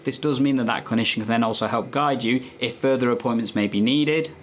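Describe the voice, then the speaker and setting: A man's voice: mid-range voice, a little fast, a little hiss or hum. A man presenting health tips as a doctor, explaining in a conversational, instructive way to viewers.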